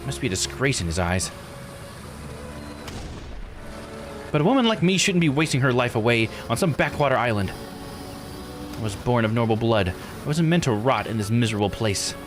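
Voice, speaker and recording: A man's voice: mid-range voice, expressive, fairly quick, music in the background, natural voice. A man voicing a resentful, haughty young woman, a scorned noble-born island diver, reading visual novel dialogue bluntly. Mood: bitter, indignant. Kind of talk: visual novel character read-aloud.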